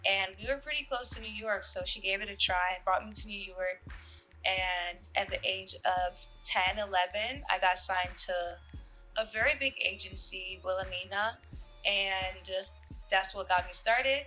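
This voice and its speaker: A young woman from Jamaica, a fashion model, answering interview questions in a relaxed way with fillers and soft laughs. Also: mid-range voice, unhurried, faint music behind, rough recording.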